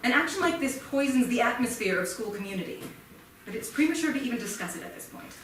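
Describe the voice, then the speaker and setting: A woman in a staged play reading playing a stern, formal high school principal with a measured, admonishing, officious manner. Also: expressive, fairly bright tone. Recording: echoey theatre, distant mic, faint noise.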